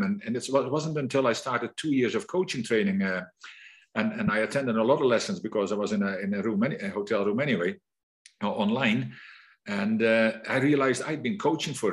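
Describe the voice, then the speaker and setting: Reflective Dutch-accented man. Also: lowish voice. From Netherlands. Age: middle-aged. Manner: reflective, with frequent restarts. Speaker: guest. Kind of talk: conversational interview.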